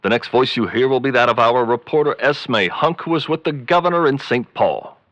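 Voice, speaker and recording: A man plays a straight-laced 1930s radio announcer with formal phrasing and a mid-pitched voice. The recording is dry and slightly dull. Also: normal speed, a bit bright, no echo, lightly acted. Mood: grave. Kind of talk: 1930s radio broadcast acting.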